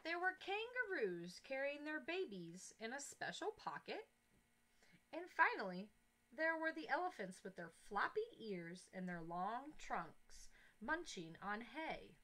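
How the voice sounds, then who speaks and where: A woman reads a children's picture book like a teacher. Her voice is high and bright, with lively swings in pitch. She takes her time, phrasing each part expressively. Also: low-quality recording. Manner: expressive, deliberate storytelling with emphatic phrasing. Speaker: teacher reading aloud to young children. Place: small indoor classroom-style corner. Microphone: headset boom mic.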